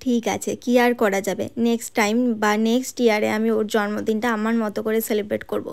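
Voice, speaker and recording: A woman's voice: mid-range voice, flat delivery, fast, vocal fry, darkish tone. A woman narrating her road trip vlog in a chatty voice-over, talking straight to her viewers. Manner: chatty, addresses viewers directly with tag questions. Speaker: travel vlogger.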